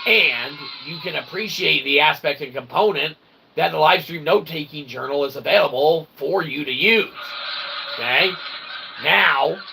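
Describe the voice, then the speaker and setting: Male streamer, mock-formal comic philosopher, gravelly delivery, clear background noise. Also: a little high, bright, forceful tone. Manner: theatrical, mock-formal wording with frequent check-in tags. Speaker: livestream host and self-published writer. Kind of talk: rambling comedic philosophical monologue to an audience. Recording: clearly noisy recording with background ambience.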